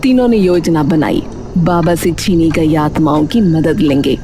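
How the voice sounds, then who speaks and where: A woman's voice: sits low, unhurried, noisy background. A woman narrating a scripted story in a dramatic storytelling style.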